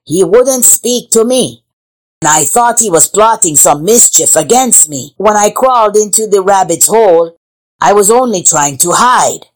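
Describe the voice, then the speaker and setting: A woman's voice: mid-range voice, lively intonation, measured pace, low-quality recording. A woman voicing an aggrieved, suspicious male python in a children's storybook, with a complaining delivery.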